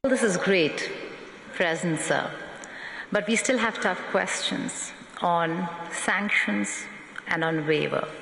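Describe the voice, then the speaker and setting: A woman moderating a panel, brisk and direct. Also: on the low side, gravelly, fairly bright tone, a little hiss or hum. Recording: roomy hall with long reverb.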